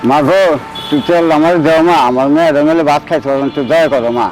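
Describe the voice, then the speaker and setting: Comedy film part: actor as humble old beggar, Bangladeshi accent, slightly high voice, quick sorrowful wheedling. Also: male voice, a little mellow, soft background music, natural voice, low-quality recording. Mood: sorrowful pleading. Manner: wheedling, pleading. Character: pleading older beggar father, humble and sorrowful. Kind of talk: comic short-film acting.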